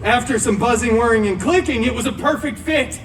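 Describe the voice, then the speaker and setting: A man's voice: very high-pitched, a little fast, a little hiss or hum. A man playing a wisecracking, flamboyant antihero who narrates a parody fairy tale in a live stage show, with sarcastic asides. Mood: wry. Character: cheeky, theatrical, wisecracking male antihero storyteller. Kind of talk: comic children's story-time parody, stage narration. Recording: distant theme park show, music behind.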